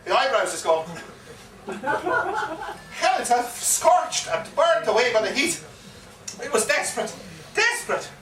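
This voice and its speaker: An actor playing an excitable Irish pub yarn-spinner, theatrically repeating himself with relish in a live comic stage monologue. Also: male voice, extremely high pitch, slow, pauses often, exaggerated voice. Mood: dramatic, relishing. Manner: theatrical, repeats phrases with relish and dramatic emphasis. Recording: echoey live pub recording, distant mic, faint noise.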